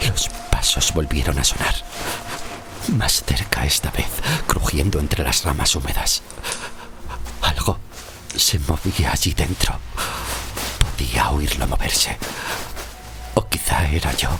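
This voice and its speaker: An actor from Spain playing a frightened shipwrecked sailor of centuries past, telling his horror tale gravely and haltingly in an audio drama. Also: very deep voice, background noise. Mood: tense, fearful. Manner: grave, halting, suspenseful delivery. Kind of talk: dramatic first-person horror audio-fiction narration.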